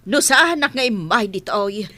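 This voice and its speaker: A woman's voice: medium pitch, expressive, moderate pace, bright, forceful tone, no echo. An actress playing a reproachful, worried grandmother in a radio drama, speaking emphatically. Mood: scolding. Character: scolding, worried grandmother of a sick child.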